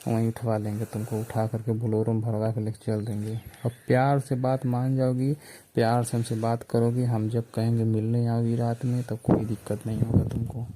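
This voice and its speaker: Menacing, insistent male suitor, played by a man for a phone drama; eastern Hindi-belt accent, flat delivery, very mellow dark tone. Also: medium pitch, normal speed, close to an everyday voice, low-quality recording. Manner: insistent, colloquial, domineering. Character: pushy, menacing male suitor making threats.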